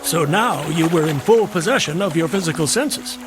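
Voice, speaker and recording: A man's voice: fairly high pitch, normal speed, lightly acted. An actor playing an enigmatic traveling stranger, courteous, sly and philosophical as he probes with questions in an audio drama. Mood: wry, courteous. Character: enigmatic, wry, probing traveling stranger, man. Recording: music under the voice. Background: music.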